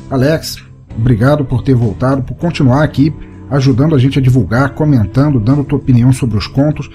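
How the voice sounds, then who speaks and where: Mellow Brazilian man narrating over music. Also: mid-range voice. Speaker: podcast host and narrator. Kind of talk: scripted story narration and reading listener comments. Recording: music bed under the voice. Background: background music.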